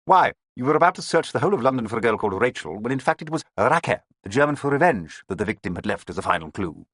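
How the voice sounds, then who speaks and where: A man's voice: medium pitch, sing-song, quick pace, a bit bright, breathy edge, no echo, voice pushed up, full character voice. A man voicing a smug, tall male police inspector who needles a rival in a storybook reading. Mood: needling. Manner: pointed and needling.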